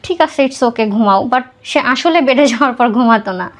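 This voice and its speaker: A woman's voice: a little high, animated, moderate pace. A young woman with a Bangladeshi accent tells her personal story conversationally in an interview, mixing in English words. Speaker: podcast guest telling own life story.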